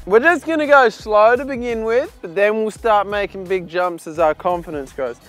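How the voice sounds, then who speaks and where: Young man, very high pitch, dry self-mocking banter, outdoor camera mic. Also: normal speed, fairly bright tone, faint music behind. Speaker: co-host. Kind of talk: casual banter. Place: outdoors at a tower site.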